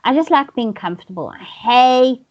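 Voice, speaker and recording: A woman's voice: a little high, animated, moderate pace. A South African woman co-hosting a casual live stream, rambling with self-mocking asides. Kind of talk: casual chat. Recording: dull lo-fi stream audio.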